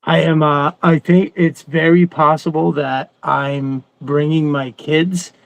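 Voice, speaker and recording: A man in his thirties or forties with a slightly high, gravelly voice, recorded close and dry. He chats casually as a co-host, rambling along like an enthusiastic fan. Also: level tone, takes it slowly, dry recording, lo-fi sound. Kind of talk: casual chat.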